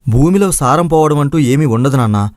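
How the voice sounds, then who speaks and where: A man's voice: fairly high pitch, lively intonation, fairly quick, a little mellow, no room sound, clean studio sound, natural voice. A man acting an earnest, aggrieved young village son in a moral story drama. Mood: earnest, hopeful. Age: young adult.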